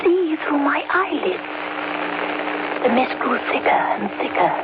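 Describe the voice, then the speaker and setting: A woman playing a young wife narrating her journal in a hushed, tense way in an old radio drama. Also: high-pitched, sing-song, unhurried. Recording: tinny 1930s radio broadcast, loud hiss.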